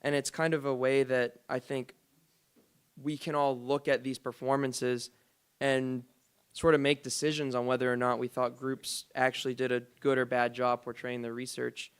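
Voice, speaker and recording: A man's voice: medium pitch, level tone, normal speed, smooth. A man giving a casual, loose presentation to a forum into a microphone, thinking aloud with lots of "like" and "kinda". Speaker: dance group director presenting to a forum.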